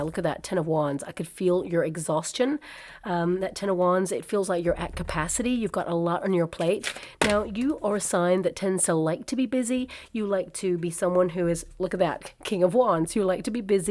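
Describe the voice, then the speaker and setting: A woman with a slightly low voice gives a soft tarot reading to camera. Her voice is a little croaky from a cold, and she speaks in a gentle, soothing way with the odd um or uh. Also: a little hiss or hum. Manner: gentle, soothing, with filler ums and uhs. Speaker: tarot reader.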